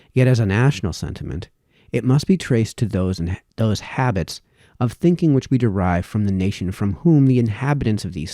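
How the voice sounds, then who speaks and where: A man reading formal historical essays aloud, with measured, emphatic phrasing that falls away at sentence ends. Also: on the low side, dark tone, dry recording, clean studio sound.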